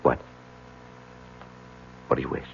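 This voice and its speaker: A man acting in a 1970s radio drama, playing a tormented, evasive married lawyer who speaks haltingly. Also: lightly acted. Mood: weary, guarded. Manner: hesitant, halting. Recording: noisy, muffled old radio recording with hum.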